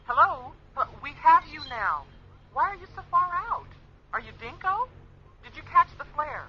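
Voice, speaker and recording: An actress playing a woman on another spacecraft who talks in a lively, familiar, puzzled way. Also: high-pitched, moderate pace, dull audio, a little hiss or hum, exaggerated voice. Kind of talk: radio drama acting.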